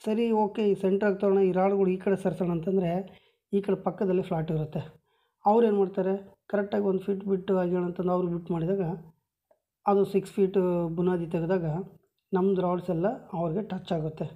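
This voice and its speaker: A woman's voice: on the low side, fairly even intonation, fast, warm tone. A woman explaining a house floor plan step by step, pointing with a pen at paper drawings on a table, recorded on a phone held over the page. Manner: plain step-by-step explanation, many measurements.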